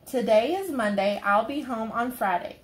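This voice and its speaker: A woman's voice: on the high side, expressive, normal speed, close to an everyday voice. A woman voicing a loving mother bunny who reassures her little one in a storybook. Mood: reassuring.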